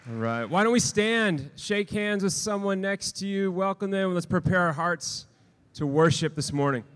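A man's voice: high-pitched, expressive, moderate pace, a bit bright, smooth. A man, the campus pastor, welcoming a chapel crowd and giving announcements in a friendly, loose way with fillers. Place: large auditorium stage. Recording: long hall reverb.

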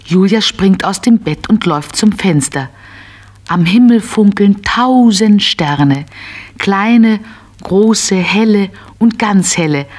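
Female storyteller for children's audio play. Mid-range voice, measured phrasing. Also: medium pitch, expressive, darkish tone. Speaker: narrator. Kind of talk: scripted children's story narration.

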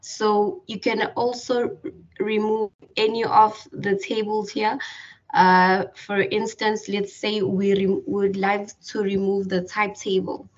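Slow, flat-voiced woman. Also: medium pitch. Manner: hesitant, many fillers and restarts. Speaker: tutorial presenter. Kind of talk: screen-recorded software tutorial. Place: voice-over on screen recording. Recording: distant, dull lo-fi mic.

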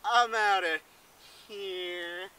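A man's voice: high voice, steady tone, extremely slow, pushed and bright, clean tone, recorded from a distance, exaggerated voice. A young man in his late teens playing an oversensitive, aggrieved hiker in an amateur comedy sketch. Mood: hurt, indignant. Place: outdoors on a woodland trail.

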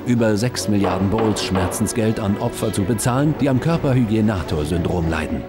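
A man's voice: fairly low pitch, lively intonation, fairly quick, close to an everyday voice. A man playing a bombastic, theatrical TV announcer in a video game, narrating grandly. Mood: dramatic. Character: bombastic TV announcer of hero shows and arena games. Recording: loud music under the voice. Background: loud music.

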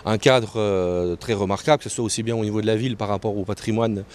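An older man, a local official answering interview questions in a halting way, often repeating words. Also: lowish voice, steady tone, a little mellow. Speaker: local mayor and tourism official. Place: outdoors on a terrace above a town street. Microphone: handheld interview microphone held close. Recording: clear outdoor street noise.

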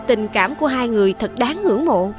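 A woman's voice: a little high, expressive, brisk, music in the background, lightly acted. A woman voicing a proud, regal, scheming young princess in a fairy-tale cartoon. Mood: cool, polite.

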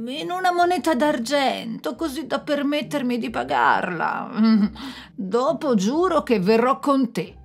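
A crafty drunken trickster played by a woman narrating a storybook, coaxing and smug, drawing his words out slowly at a raised pitch. Faint music plays under the voice. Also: creaky, heavily acted. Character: sly male drunkard trickster, cunning and smug.

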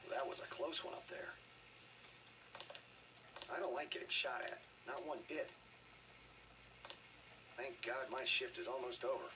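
An actor playing a weary, uneasy armored trooper in a video game. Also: male voice, medium pitch, unhurried, long pauses, recorded from a distance, close to an everyday voice. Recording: noisy game audio off TV speakers.

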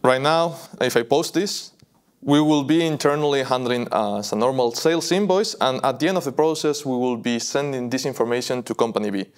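Young man demonstrating software. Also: mid-range voice, fairly quick. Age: young adult. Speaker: software product presenter. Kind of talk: live software demo walkthrough. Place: indoor video studio.

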